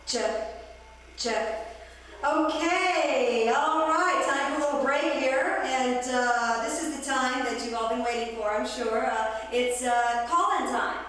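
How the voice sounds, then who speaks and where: A woman performing as a playful, teasing radio call-in host in a live theatrical radio drama, with a halting, coy delivery. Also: high voice, fairly bright tone. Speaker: performance artist. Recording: distant echoey hall, faint noise.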